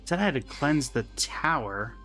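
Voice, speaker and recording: A man's voice: fairly high pitch, lively intonation, normal speed, bright, forceful tone, soft background music. A man hosting a game reaction video, casually musing to himself while he plays. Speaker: gaming channel host.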